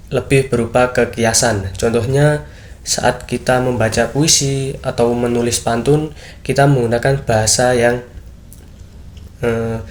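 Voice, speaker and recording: A teenage boy talking through a school presentation in a mid-pitched voice. He explains each point earnestly and plainly, now and then pausing to restart a phrase. A faint noise sits under the recording. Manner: earnest, with frequent fillers and restarts. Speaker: student presenter. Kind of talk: class presentation, explaining material.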